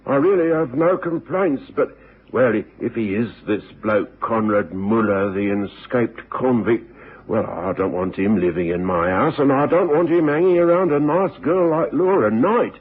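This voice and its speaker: An actor playing a kindly, worried older English boarding house keeper who stammers hesitantly in a radio drama. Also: male voice, medium pitch, lively intonation, moderate pace, husky. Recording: tinny old radio broadcast.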